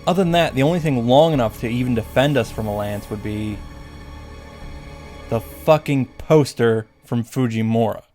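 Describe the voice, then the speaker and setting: A man's voice: mid-range voice, moderate pace, mellow, soft background music. A man giving a sarcastic, profane recap and commentary on a visual novel, as the host of a video. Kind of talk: game recap with commentary, reading lines aloud.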